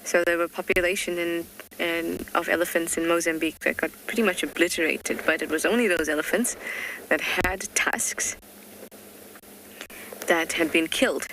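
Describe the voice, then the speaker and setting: A safari guide giving live commentary outdoors in the bush, talking in a hushed way that drops to a whisper near animals. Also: medium pitch, slight background noise, poor audio. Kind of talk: live game drive commentary.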